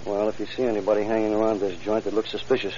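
An actor playing a brisk, no-nonsense police detective in an old-time radio drama. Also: male voice, on the low side, flat delivery, dark tone, lightly acted. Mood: businesslike. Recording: dull old radio recording.

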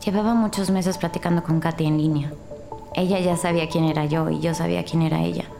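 A woman's voice: low voice, steady tone, fast, gravelly. A woman playing a popular teenage schoolgirl, a suspect confessing in an audio crime drama, in a subdued way with music behind her. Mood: guarded confession. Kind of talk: scripted audio drama dialogue.

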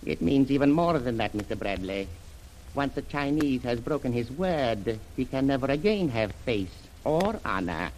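An actor playing a courteous, shrewd Chinese shipping magnate in a radio adventure, speaking formally and in measured proverbs. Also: male voice, medium pitch, expressive, darkish tone, lightly acted. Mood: grave, courteous. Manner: formal, measured, proverb-laden, dropping articles. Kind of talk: old-time radio adventure acting. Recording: noisy vintage radio drama recording.

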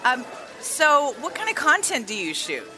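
Female podcast host, chatty and giggly, flirty tone, loud room with music playing. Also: sits high, wildly swooping pitch, measured pace, rough recording. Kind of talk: casual chatty interview. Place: hotel restaurant.

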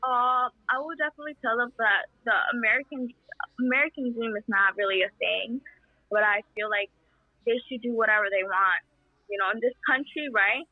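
A young woman from El Salvador, answering interview questions in an impassioned, halting way with many fillers. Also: on the high side, dry recording. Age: young adult. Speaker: interview guest. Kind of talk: earnest conversational answers. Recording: tinny phone-like line.

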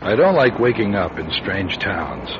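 Hard-boiled male private eye, American accent, lowish voice, swinging intonation, wry, world-weary, radio play. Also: big pitch swings, measured pace, music in the background. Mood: grim, resolute. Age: middle-aged. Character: hard-luck, weary, tough 1940s male private investigator. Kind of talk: radio drama acting with first-person noir narration. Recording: muffled, noisy radio drama recording.

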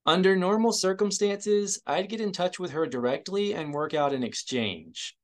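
A man's voice: sits high, normal speed, voice pushed up, natural voice. A man voicing a brash, shrewd woman hustler in an audiobook, cocky and wry as she bargains. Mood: businesslike.

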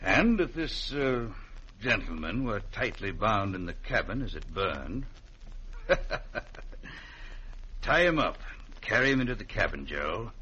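An actor playing a cold, cultured outlaw boss in an old-time radio western, pompous, precise and menacingly genteel. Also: male voice, lowish voice, very animated, unhurried, long pauses, pushed and bright, slightly rough, muffled recording, full character voice. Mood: mock-genteel menace. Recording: faintly noisy old radio recording.

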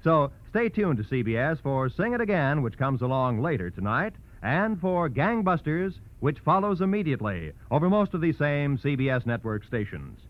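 A man's voice: on the high side, very animated, moderate pace, few pauses. An American man announcing on 1940s radio, reading scripted credits and promotions with polished, formal showmanship. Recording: dull vintage radio recording.

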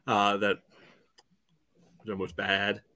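A man's voice: lowish voice, completely flat delivery, takes it slowly, sharp tone, no echo. A man giving casual soccer analysis as a podcast panelist, in a conversational, hedging way.

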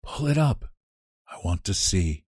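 A man voicing a sick young woman who speaks quietly and wearily. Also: lowish voice, unhurried, no room sound, studio quality. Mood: faint. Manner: quiet, tired, near whisper.

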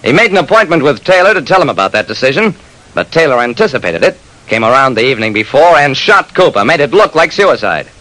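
An actor playing a brisk private detective who narrates his case tersely and wryly in an old radio crime drama. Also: mid-range voice, very animated, pushed and bright, no room sound, rough recording. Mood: matter-of-fact, wry. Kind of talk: 1940s-50s radio crime drama, first-person narration. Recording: old radio broadcast recording.

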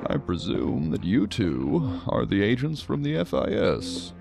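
An actor playing a genial, eccentric psychiatrist with a hesitant, musing, politely whimsical manner in an audio drama. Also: male voice, fairly low pitch, wildly swooping pitch, unhurried, gravelly, over music, full character voice. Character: genial, eccentric male psychiatric doctor humoring his patient.

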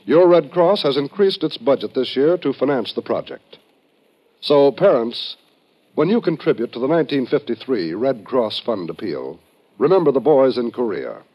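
An American man announcing on 1950s radio in a scripted, solemn, measured style, on a muffled vintage recording. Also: mid-range voice.

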